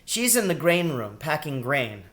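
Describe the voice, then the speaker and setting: High-pitched young girl rat, man acting it out, childish, excitable, chatty, naive, storybook read-aloud. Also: a little slow. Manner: childish, excitable, suspicious questioning.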